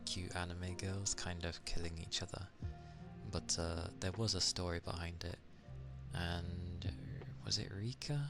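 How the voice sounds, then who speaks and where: A man from England with an English accent, chatting casually on an ASMR stream, soft-spoken and at times drifting into whispers. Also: low voice, level tone, unhurried, gravelly, over music.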